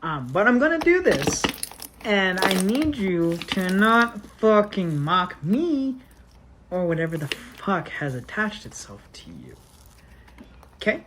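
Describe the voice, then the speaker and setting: A woman's voice: medium pitch, big pitch swings, takes it slowly, background noise. A woman telling a casual first-person story in a blunt, sweary, exasperated manner, acting out the dialogue.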